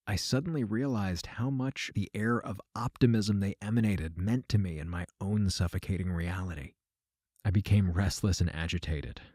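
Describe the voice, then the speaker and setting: An actor playing a weary, regretful aging man, narrating his own story in a measured, reflective way for a fiction podcast. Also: low-pitched, darkish tone, no echo, studio quality. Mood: somber, reflective. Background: music.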